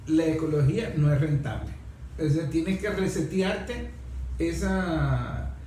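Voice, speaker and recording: A Venezuelan man moderates a discussion in a heavily echoing room with faint noise. His voice is high for a man, and he argues his opinions through rhetorical questions. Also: expressive, slow pace, mellow.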